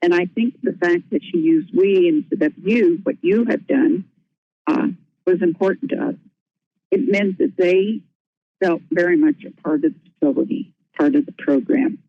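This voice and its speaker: A woman's voice: on the low side, big pitch swings, moderate pace, vocal fry, no echo. A woman giving a prepared presentation as a nursing home administrator, earnest and careful, with small restarts. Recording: tinny phone-line audio.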